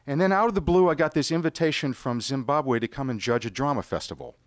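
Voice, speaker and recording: A middle-aged man with an American accent telling a rehearsed personal story on stage, with theatrical, deliberate phrasing. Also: medium pitch, no room sound, clean studio sound. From United States. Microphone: stage microphone on a stand.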